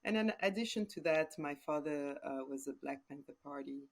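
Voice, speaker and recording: A woman in her forties from West Africa talks through her answers in an unhurried, reflective way. Her voice sits low for a woman, and she often pauses on soft fillers as she gathers her thoughts. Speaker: interview guest, entrepreneur. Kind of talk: casual conversational interview.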